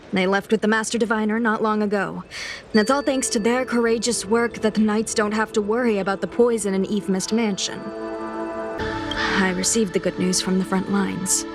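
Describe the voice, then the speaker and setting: A woman's voice: mid-range voice, natural voice. An actress playing a dutiful, polite female military guard in a video game, composed and official.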